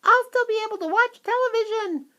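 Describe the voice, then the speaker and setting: A woman voicing a tiny, bratty boy with a squeaky voice. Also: brisk, pushed and bright, muffled recording. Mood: cheeky.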